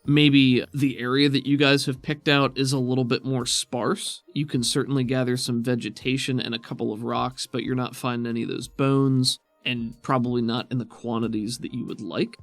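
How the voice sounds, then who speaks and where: Male game master, mid-range, supportive and conversational, dry studio-like sound with quiet music. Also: medium pitch, flat delivery, normal speed, hardly any pauses, dry recording. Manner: encouraging. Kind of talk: casual tabletop game narration.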